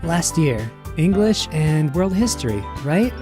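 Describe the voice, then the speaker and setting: A man playing a popular, confident, charming teenage schoolboy in an audio drama, friendly and smooth. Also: on the high side, big pitch swings, measured pace, mellow, soft background music. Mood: warm, complimentary.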